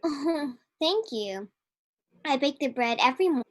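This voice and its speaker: A young-sounding actress playing a kindly, motherly baker in a live radio drama, gentle and coaxing. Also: sits high, lively intonation, measured pace, dry recording, studio quality. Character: kindly, motherly woman baker nursing a patient.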